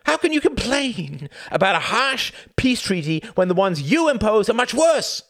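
A man voicing an angry young American pilot who shouts with indignation. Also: high voice, sing-song, normal speed, gravelly, voice pushed up, heavily acted. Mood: furious.

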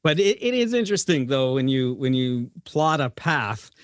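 A man's voice: medium pitch, very animated, moderate pace, dry recording, studio quality. A middle-aged man hosting a podcast, speaking in a conversational interviewing style. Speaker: podcast host.

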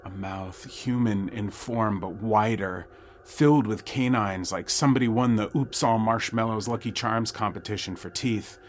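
A man narrating a first-person horror audio drama in a wry, suspenseful way with dramatic pauses. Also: on the low side, a little hiss or hum. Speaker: storyteller narrating a nightmare.

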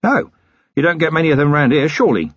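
Man doing a wry, measured city policeman. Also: fairly high pitch, animated, breathy edge, no echo, voice pushed up. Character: dry, wry middle-aged male city policeman. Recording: dry, slightly dull recording.

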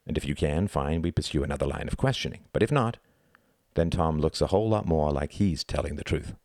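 A man voicing a stern, grimly controlled adult man who talks in a firm, measured, authoritative way in a story. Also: very deep voice, expressive, darkish tone, no room sound, studio quality. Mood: controlled, insistent.

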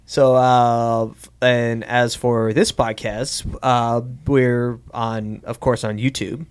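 Male podcast host, medium pitch, loose and rambling, comedic casual chat with repeated phrases. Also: level tone, takes it slowly, smooth.

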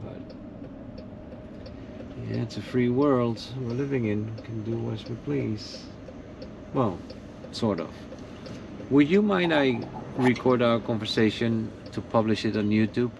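A man at an ordinary pitch chats easily from the driver's seat. His talk is loose and friendly, full of questions. Loud road noise from the car runs under every word. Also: a little slow, creaky, pauses often. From Netherlands. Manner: chatty, easygoing, asks questions. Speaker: rideshare driver and podcast host. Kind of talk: casual chat while driving.

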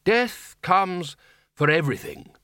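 A man's voice: high voice, very animated, unhurried, a bit bright, no room sound, clean studio sound, voice pushed up, exaggerated voice. A man voicing a brusque, impatient wizard Arch-Chancellor in a comic fantasy audiobook, snapping and stumbling over his words. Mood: insistent.

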